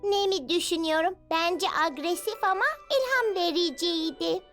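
A woman's voice: extremely high pitch, normal speed, a bit bright, faint music behind. A woman voicing a sweet, upbeat little superhero girl in a cartoon dub. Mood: chirpy. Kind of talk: animated cartoon dub acting.

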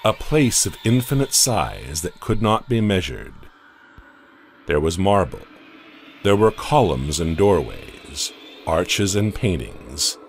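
A man narrating a dark audio comic story in a scripted, dramatic way, often dropping to a hush at the ends of lines. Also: low voice, big pitch swings, a little hiss or hum. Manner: slow, dramatic storytelling with hushed drops.

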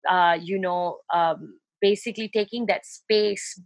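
A woman's voice: on the low side, fairly even intonation, measured pace, no room sound. A young adult woman, chatting casually and informally as an interview guest on a video call. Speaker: interview guest, DJ and fashion entrepreneur. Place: home room with shelves, video call.

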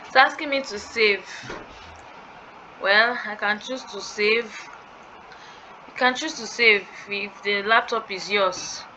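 A woman's voice: medium pitch, slow pace, pauses often, bright, forceful tone. A young woman thinking aloud as she fills in an online form for a tutorial, hesitant and musing. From Nigeria. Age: young adult. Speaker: scholarship tutorial creator. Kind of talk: screen-recorded walkthrough. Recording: dull lo-fi sound with clear background noise.

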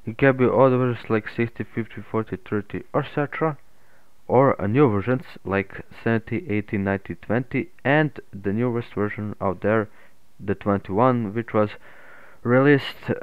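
A man narrating an unscripted software tutorial in a halting, hesitant way. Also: lowish voice, smooth, no room sound. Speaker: tutorial host. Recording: muffled phone-like narrow-band audio.

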